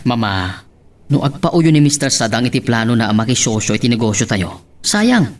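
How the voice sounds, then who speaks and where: A man's voice: medium pitch, big pitch swings, normal speed, lightly acted. A man playing an apologetic but stubborn businessman husband in an Ilocano drama, with an Ilocano accent. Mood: apologetic, firm. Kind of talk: Ilocano radio-style serial drama acting.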